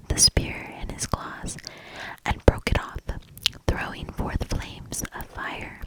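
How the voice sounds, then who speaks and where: Woman whispering very slowly, ASMR style. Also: poor audio. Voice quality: breathy, unvoiced whisper. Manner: slow, measured, hushed delivery. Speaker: ASMR storybook reader. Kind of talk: whispered ASMR fairy tale reading.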